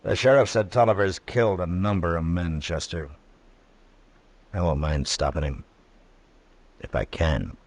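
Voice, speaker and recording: Old west lawman, real deep n husky, steady, few words, old radio show. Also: a little slow, long pauses. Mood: grimly resolved. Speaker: radio drama actor. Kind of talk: old-time radio western acting. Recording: vintage radio drama recording.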